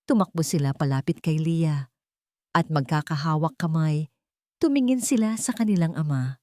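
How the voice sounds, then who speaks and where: Lowish-voiced woman narrating a story, dry sound. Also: normal speed, a little mellow, no room sound. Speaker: audiobook narrator. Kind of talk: scripted dramatic story narration.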